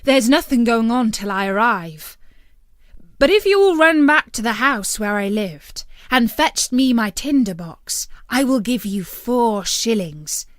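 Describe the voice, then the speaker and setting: A woman's voice: on the high side, animated, unhurried, no echo, clean studio sound. A woman voicing a confident soldier in a storybook, calling out emphatically. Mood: urgent, coaxing. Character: condemned male soldier, confident, bargaining with a boy.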